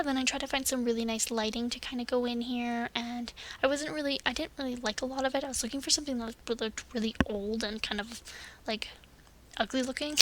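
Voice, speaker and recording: A woman's voice: a little high, noisy background. A young woman with a stuffed-up nose from a summer cold, chatting casually and laughing often as she narrates her building game. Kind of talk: casual voice-over commentary.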